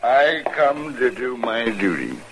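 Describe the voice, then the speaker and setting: An actor in a 1950s radio drama playing a spiteful frontier husband who stammers and gloats in a slow rustic drawl. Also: male voice, medium pitch, big pitch swings, creaky, background noise, heavily acted. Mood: sly, insistent. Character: sly, spiteful frontier husband, middle-aged man.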